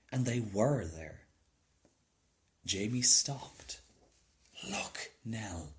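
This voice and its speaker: A man narrates a storybook at an unhurried pace. His pitch moves up and down in a lively way as he reads the scripted tale aloud. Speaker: storybook reader.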